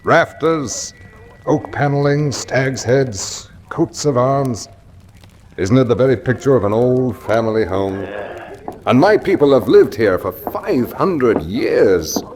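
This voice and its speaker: Lively, bold young heir, noisy backdrop. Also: male voice, mid-range voice, slow. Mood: delighted. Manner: hearty, emphatic, plain-spoken. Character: bold, eager baronet heir returning to ancestral home. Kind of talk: radio drama dialogue. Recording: noisy background ambience.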